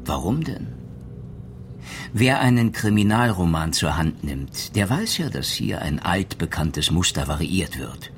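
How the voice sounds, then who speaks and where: Radio drama role: retired detective, low male voice, courteous, knowing, noise behind. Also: sing-song, exaggerated voice. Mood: reflective. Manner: measured, courteous, gently ironic. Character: East German ex-police lieutenant, calm, courteous, superior. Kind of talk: German radio drama acting.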